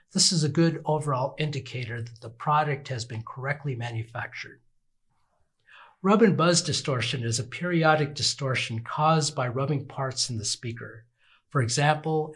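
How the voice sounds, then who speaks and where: An older man with a slightly husky voice gives scripted instructional narration in an orderly, explanatory manner. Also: medium pitch, fairly bright tone. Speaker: product demonstrator.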